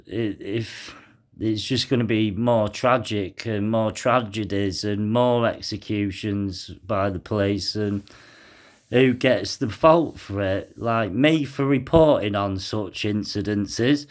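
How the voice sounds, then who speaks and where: A young man commenting on a news article as he reads it aloud, halting often with fillers and sighs. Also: lowish voice. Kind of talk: casual commentary mixed with reading aloud.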